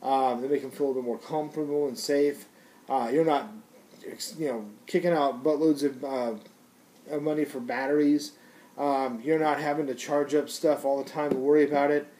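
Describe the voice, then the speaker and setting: A man's voice: a little high, steady tone, moderate pace, warm tone. A man giving a casual, unscripted product review in a rambling, conversational, slangy way.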